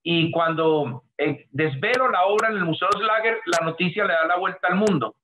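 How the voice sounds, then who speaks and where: Colombian man, high voice, noisy call. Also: normal speed. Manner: earnest, effusive, speaks in long flowing sentences. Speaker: artist guest. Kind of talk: video call interview. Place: home room, indoors. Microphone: webcam on video call.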